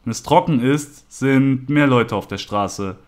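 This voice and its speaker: A man's voice: mid-range voice, unhurried, close to an everyday voice. A man voicing a matter-of-fact, reassuring police officer in a horror story.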